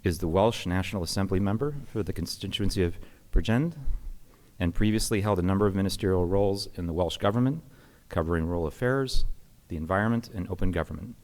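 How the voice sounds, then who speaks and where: A man with a very low voice reads a prepared introduction at a podium in a conference hall. His delivery is measured and formal, with an occasional stumble he corrects. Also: darkish tone. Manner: measured, formal reading with small slips. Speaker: host introducing a guest.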